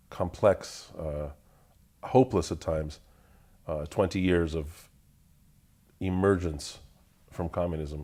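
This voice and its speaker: A man whose first language is Russian, answering interview questions in a measured, deliberate way, pausing to find his words. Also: deep voice, dark tone. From Russian family, raised in the United States. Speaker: interview guest. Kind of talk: thoughtful conversational answers.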